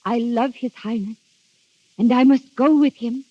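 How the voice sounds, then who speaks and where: A woman's voice: fairly high pitch, steady tone, a little slow, dry recording. An actress playing a fearless young woman in love, earnest and theatrical in old radio drama style. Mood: rapturous, insistent. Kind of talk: 1930s radio drama acting. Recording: dull old radio broadcast with music.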